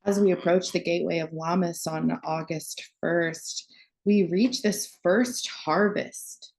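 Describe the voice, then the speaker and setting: Female meditation guide, very slow delivery with gravelly fry, soothing and spacious. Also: fairly low pitch, dark tone. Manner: soothing, unhurried phrasing with long pauses.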